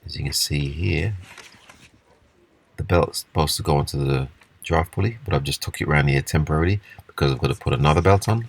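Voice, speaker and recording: A man with a husky voice giving a casual, unscripted, step-by-step walkthrough of hands-on repair work. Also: rumbling low. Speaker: repair demonstrator.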